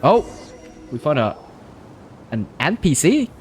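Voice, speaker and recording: A man's voice: on the high side, very animated, takes it slowly, a little mellow, a little hiss or hum. Chatty streamer man reacting to a game. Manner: chatty, reacts aloud, addresses chat. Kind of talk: casual gaming commentary. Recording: game music playing behind.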